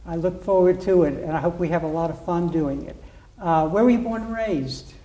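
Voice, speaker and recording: A man's voice: a little high, brisk, warm tone, rough recording. A man hosting an interview program, giving a formal introduction and then asking questions. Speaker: interview host.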